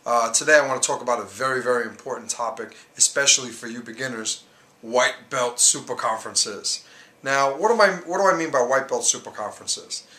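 A man's voice: medium pitch, a little fast, pushed and bright, reverberant. A man in his thirties with a New York accent, a martial arts instructor coaching beginners straight to camera in an earnest, emphatic way. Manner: earnest, emphatic, gestures with hands. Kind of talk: direct-to-camera coaching talk. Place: small indoor studio, whiteboard behind.

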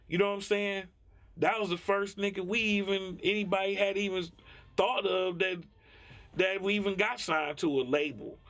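A man's voice: high-pitched, fairly bright tone. A middle-aged man, talking emphatically and profanely in a candid interview. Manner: emphatic, profane, tags phrases with "you know what I'm saying". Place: small foam-padded recording studio. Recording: faint music behind the voice.

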